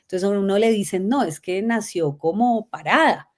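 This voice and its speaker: A middle-aged Colombian woman, a guest telling her life story in a lively way, laughing often. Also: medium pitch, normal speed. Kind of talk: conversational interview.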